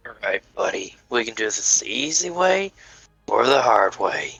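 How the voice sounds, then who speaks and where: A man playing taunting, wry older cartoon men with a rough voice in a table read. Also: mid-range voice, sing-song, moderate pace, fairly bright tone, faint music behind, exaggerated voice, rough recording. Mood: menacing.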